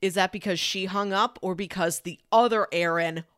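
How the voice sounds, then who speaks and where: A woman's voice: on the low side, normal speed, fairly bright tone, no echo. Woman chatting casually, dry close sound. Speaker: film podcast co-host. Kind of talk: casual film discussion.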